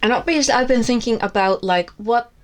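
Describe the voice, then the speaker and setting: A woman's voice: on the high side, brisk, a little hiss or hum. Frank Icelandic woman, wry rambling vlog. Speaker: book vlogger. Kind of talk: casual candid review vlog. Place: seated indoors with books on her lap.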